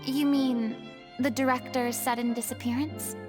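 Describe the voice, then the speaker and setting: A woman voicing a poised, theatrical young noblewoman in a game cutscene, speaking in a drawn-out, dramatic way over music. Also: sits high, steady tone, natural voice. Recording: game soundtrack music behind.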